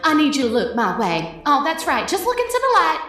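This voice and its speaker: Woman playing a high, breezy blue demon lady. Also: huge pitch swings, a little fast, a bit bright, reverberant, distant mic, soft background music, full character voice. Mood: sweetly teasing.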